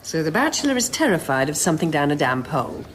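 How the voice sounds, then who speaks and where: Sardonic, lively woman film-maker, noisy location. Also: low voice, fast talker. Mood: mocking, opinionated. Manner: sarcastic, bossy. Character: brusque, sardonic feminist documentary film-maker. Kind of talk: naturalistic radio drama acting.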